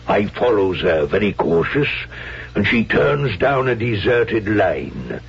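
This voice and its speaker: Gravelly, low-voiced sly henchman. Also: sing-song, slow pace, full character voice. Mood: sly, pleased. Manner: folksy, ungrammatical speech. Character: uneducated, sly male henchman who spies for hire. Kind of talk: 1970s radio drama acting. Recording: dull vintage radio recording, faint hum.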